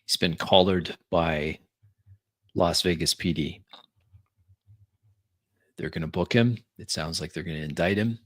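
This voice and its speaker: Man playing a deep-voiced, deferential vampire supplicant. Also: fairly bright tone, dry recording, studio quality. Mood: grave, urgent. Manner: halting, apologetic, obsequious. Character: deferential vampire pleading with a powerful sheriff.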